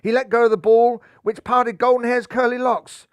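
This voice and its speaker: A man in his sixties reading a children's story aloud in a lively, theatrical way, seated in a living room with a lapel mic. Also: very high voice, normal speed, smooth, no room sound. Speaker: storybook narrator.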